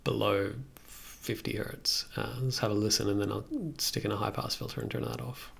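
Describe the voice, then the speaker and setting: A man's voice: fairly low pitch, level tone, moderate pace. A man in his thirties talking casually and hesitantly, thinking aloud as he works on a music production livestream. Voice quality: gravelly, creaky. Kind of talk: casual livestream commentary while working. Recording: faint noise, keyboard and mouse clicks.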